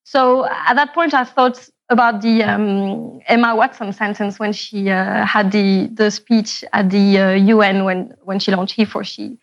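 Woman from Morocco with a creaky voice. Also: mid-range voice, fairly even intonation, measured pace, few pauses, muffled recording. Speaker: panelist and engineer. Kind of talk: panel discussion.

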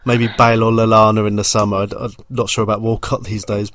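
A man's voice: fairly low pitch, level tone, fast talker, a bit bright, no room sound. Chatty male podcast host. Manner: chatty, rambling questions. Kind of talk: casual interview.

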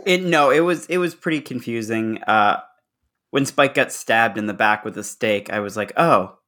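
Male co-host, average pitch, informal opinionated chat, slightly halting phrasing. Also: sharp tone. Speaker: podcast co-host. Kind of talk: casual chat.

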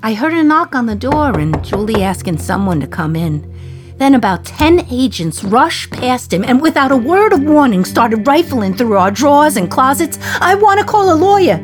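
A woman's voice: high voice, very animated, moderate pace. Defiant, wry New mother, music behind. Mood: tense, pained. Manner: wry, emphatic, confiding storytelling. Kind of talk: dramatic radio play monologue.

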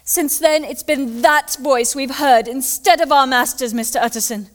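A woman's voice: high voice, moderate pace, natural voice. A woman playing an anxious, loyal Victorian housekeeper in an audio drama, speaking formally and urgently, with deference. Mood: distressed, insistent. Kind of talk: dramatic audio play acting.

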